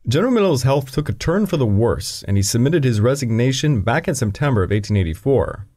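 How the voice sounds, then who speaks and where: Brief: male history podcast narrator, animated delivery, dry humor in asides. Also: mid-range voice, fairly quick. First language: English. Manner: dry asides breaking into the reading.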